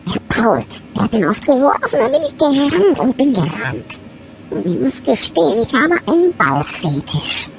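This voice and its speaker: A woman voicing a hungry, proud young male shepherd dog in a comedy audio sketch, grumbling to himself in an inner monologue with wild swings in pitch. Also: very animated, normal speed, exaggerated voice. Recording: clear background noise.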